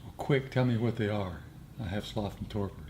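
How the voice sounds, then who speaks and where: Low-voiced American man, noisy recording. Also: normal speed, mellow. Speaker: meditation group co-teacher. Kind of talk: casual group discussion. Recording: clear background room noise.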